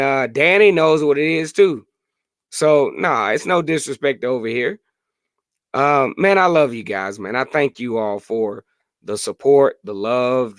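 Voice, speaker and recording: A hoarse man in his late thirties hosting a casual sports podcast live stream, chatting freely and answering viewer comments. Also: a little high, fairly bright tone, no room sound, studio quality. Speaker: podcast host.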